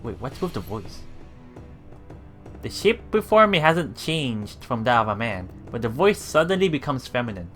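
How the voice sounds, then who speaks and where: Animated man playing through a visual novel. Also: medium pitch, normal speed. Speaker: let's play host. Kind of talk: reading visual novel text aloud with casual commentary. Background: game music.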